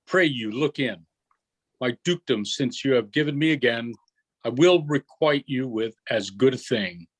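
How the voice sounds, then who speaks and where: Man reading as commanding, measured wronged duke. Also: medium pitch, animated, breathy edge. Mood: measured, grave. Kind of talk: unrehearsed virtual Shakespeare reading.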